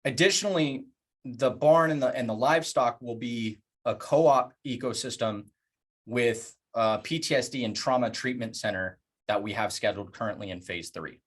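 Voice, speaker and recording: A man presenting plans in a public address, speaking earnestly in deliberate phrases with emphatic pauses. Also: mid-range voice. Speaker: organization leader presenting plans.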